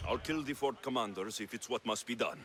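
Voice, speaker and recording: An actor playing a stoic Greek mercenary warrior in a video game, terse and dryly loyal. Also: male voice, mid-range voice, fairly quick, crowd noise, natural voice. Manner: dry, terse. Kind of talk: scripted video game acting. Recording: music behind the voice.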